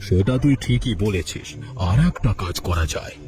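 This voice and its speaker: A man's voice: fairly low pitch, expressive, normal speed, lightly acted. A man voicing a proud village headman in a cartoon, authoritative and coaxing. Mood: scheming. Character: village headman and teacher, proud, two-faced. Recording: soft music under the voice.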